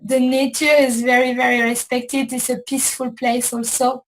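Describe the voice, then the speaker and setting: A woman hosting a casual show, talking slowly and repeating herself as she searches for words. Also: high voice, flat delivery, a little hiss or hum, poor audio. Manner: slow, repetitive, searching for words. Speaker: host. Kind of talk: casual chat.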